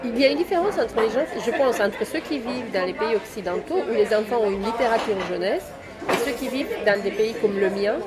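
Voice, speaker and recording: Romanian-accented woman over loud crowd chatter. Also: on the high side, animated, fairly quick. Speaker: interview guest. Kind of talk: casual chat. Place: busy café or bar. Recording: busy public place with loud crowd chatter.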